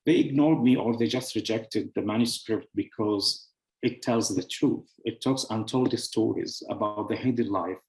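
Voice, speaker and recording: Founder type: man, lowish voice, hesitant phrasing, echoey room. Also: darkish tone. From Syria. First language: Arabic. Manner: halting, searching for words with many fillers. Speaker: founder presenting his publishing house. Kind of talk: showcase presentation. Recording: roomy echo.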